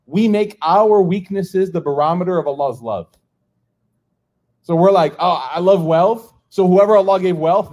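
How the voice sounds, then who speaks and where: A middle-aged man with an American accent gives an informal sermon to a live audience, telling stories, acting out the dialogue and laughing often. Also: high-pitched. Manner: animated storytelling, acts out dialogue, laughs often.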